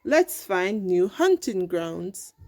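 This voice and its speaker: A woman voicing a giant, proud but good-hearted male blue whale in a children's storybook, emphatic and deliberate. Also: medium pitch, sing-song, darkish tone. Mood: friendly.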